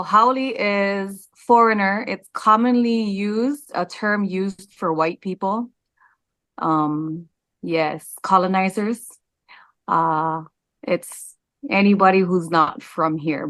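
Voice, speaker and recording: A woman, answering interview questions candidly, with frequent "um" pauses. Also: on the low side, steady tone, fairly bright tone, dry recording. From Hawaii. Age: 40s. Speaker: interview guest.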